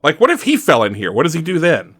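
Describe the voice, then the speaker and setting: A man playing a tabletop roleplaying game, chatting casually and thinking aloud in an animated, halting way. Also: on the high side, husky, no echo, studio quality.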